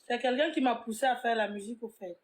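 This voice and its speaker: A woman's voice: on the high side, quick pace, echoey room. A woman singer, born in the Congo and raised in Burkina Faso, giving short, slightly hesitant answers as an interview guest. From Burkina Faso, born in Kinshasa. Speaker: guest musician.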